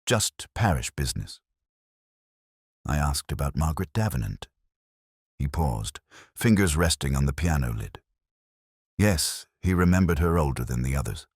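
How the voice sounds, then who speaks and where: Man, very deep voice, lively intonation, scripted mystery narration, literary, wry, measured. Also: husky, dry recording, studio quality. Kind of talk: scripted first-person detective story narration.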